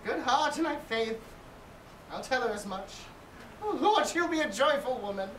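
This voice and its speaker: Woman playing a high, swooping fussy old nurse. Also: animated, moderate pace, natural voice. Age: older. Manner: rambling, exclaiming, theatrical groaning and chuckling. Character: bawdy, fussy old nurse, weary and teasing, fond of her charge. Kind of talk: Shakespearean stage acting. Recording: echoey room, distant mic, faint noise.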